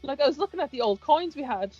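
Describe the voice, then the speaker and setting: High-pitched Irish woman chatting casually. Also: animated, fast talker, dark tone. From Ireland. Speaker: host of a fan chat, who edits it. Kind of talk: casual chat between friends. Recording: muffled lo-fi audio with music behind. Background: music.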